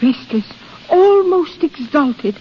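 High-voiced, anxious, insistent lady. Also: big pitch swings, slow, exaggerated voice. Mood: anxious, suspicious. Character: anxious, insistent aristocratic wife and mother. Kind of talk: 1930s radio drama acting. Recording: muffled, hissy 1930s radio recording.

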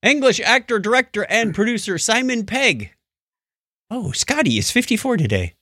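A man's voice: sits high, sing-song, moderate pace, sharp tone, no echo, studio quality. A man hosting a podcast with playful, theatrical announcing and casual comic banter. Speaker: podcast host.

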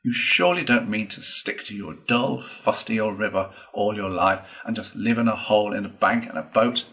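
A man voicing a boastful, excitable gentleman toad in a storybook, declaiming in a pompous, gushing way. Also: medium pitch, unhurried, voice pushed up. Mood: coaxing, insistent.

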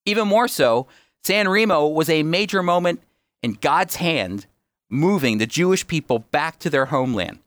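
A man's voice: fairly high pitch, expressive, moderate pace, a bit bright, dry recording, studio quality. A man hosting a radio program, explaining his points emphatically in a teaching style and often answering his own questions. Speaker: radio host.

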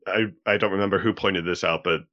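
A man talking casually as a podcast guest, halting and pausing mid-thought as he goes. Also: low-pitched, steady tone. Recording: dull lo-fi audio.

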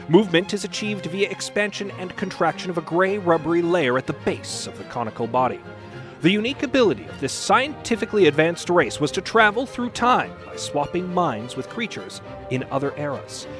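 A man reading prepared scripted material aloud as a podcast presenter. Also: a little high. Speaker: podcast host, ad reader and dramatic reader.

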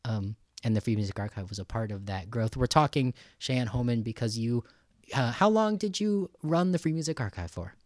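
A man hosting a podcast interview in a casual, halting, conversational way with an informal manner. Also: medium pitch, animated, darkish tone, dry recording, clean studio sound.

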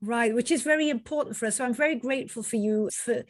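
A woman in her fifties hosting a podcast, who introduces her guest and asks questions in a warm, encouraging way. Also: a little high, fast talker, no echo. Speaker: podcast host. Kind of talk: podcast interview. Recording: dry close mic with faint music.

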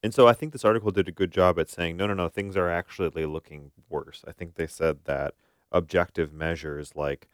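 A man's voice: low-pitched, fairly even intonation, fairly quick, smooth, no echo, clean studio sound. An American man co-hosting a podcast, chatting casually with informal, folksy phrasing. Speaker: podcast co-host and practicing therapist. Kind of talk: casual conversational podcast chat.

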